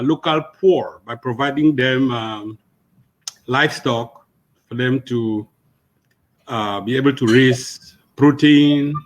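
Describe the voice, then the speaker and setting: A man, a veterinarian, introducing himself on a panel with steady storytelling and some hesitations. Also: mid-range voice. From Cameroon.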